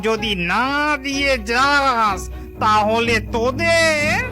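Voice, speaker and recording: Lady doing greedy ghost husband, high n slow, wheedling bossy cartoon voice, loud music under it. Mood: bargaining, threatening. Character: greedy, bargaining old-married male ghost husband. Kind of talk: Bengali children's cartoon voice acting.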